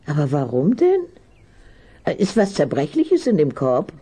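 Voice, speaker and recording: A woman's voice: low-pitched, wildly swooping pitch, a little slow, warm tone, breathy edge, heavily acted. An actress playing a motherly, sensible household woman who fusses over children in a radio play. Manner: kindly scolding, fussing over children.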